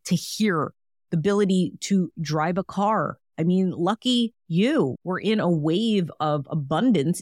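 A woman's voice: fairly low pitch, a little mellow, no echo, studio quality. A woman hosting a solo wellness podcast, talking in a chatty, encouraging way with frequent fillers. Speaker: podcast host.

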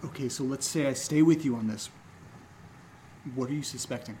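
Mid-pitched male voice, earnest, questioning, exasperated, horror audio drama played by a man, faint background noise. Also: moderate pace, mellow, close to an everyday voice, rough recording. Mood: wary, questioning. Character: earnest, exasperated unemployed man obsessed with investigating.

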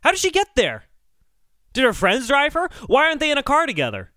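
A man with a high, bright voice co-hosts a comedy podcast. He argues in a mock-serious, emphatic way, with very lively pitch movement. The sound is dry and close. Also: a little fast, dry recording. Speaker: podcast co-host. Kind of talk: comic song-critique banter.

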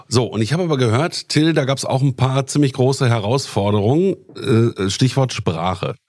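A man's voice: medium pitch, normal speed, darkish tone, dry recording, clean studio sound. A man hosting a podcast interview, asking friendly follow-up questions in a conversational way. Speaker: podcast host.

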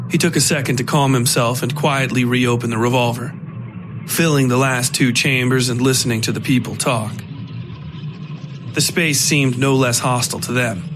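A man narrates a scripted horror story for a podcast, in a middle-pitched voice. Music runs clearly behind the narration. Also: rough recording.

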